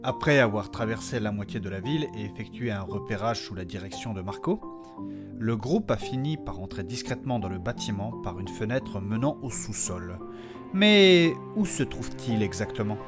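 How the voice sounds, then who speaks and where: A man's voice: fairly low pitch, expressive, moderate pace. A man narrating a scripted recap of a fantasy story in a dramatic storytelling style. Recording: loud guitar music behind voice.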